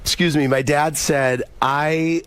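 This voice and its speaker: A man's voice: a little high, fairly even intonation, slow, fairly bright tone, smooth. An American man speaking as a radio interview guest, telling candid personal stories casually, often repeating words and acting out quoted dialogue. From United States, Missouri. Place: radio studio.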